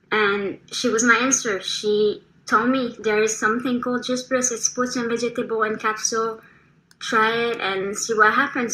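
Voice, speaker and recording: Bright-voiced French woman telling her story. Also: mid-range voice, poor audio. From France. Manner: earnest, frank, with frequent ums and small restarts. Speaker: testimonial speaker. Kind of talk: personal testimonial.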